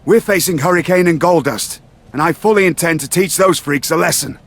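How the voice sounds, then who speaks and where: Casting: haughty British heel in a wrestling game, pompous, formal, higher-set male voice. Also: brisk, fairly bright tone. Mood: indignant disdain. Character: haughty, snobbish British gentleman wrestler villain. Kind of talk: scripted wrestling game cutscene acting.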